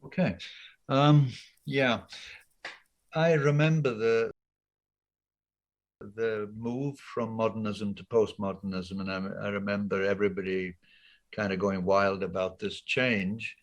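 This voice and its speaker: An older man from Wales, an art professor talking thoughtfully on a video call with many pauses and ums. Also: fairly low pitch, steady tone, muffled recording. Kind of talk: casual interview talk. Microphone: laptop webcam on a video call.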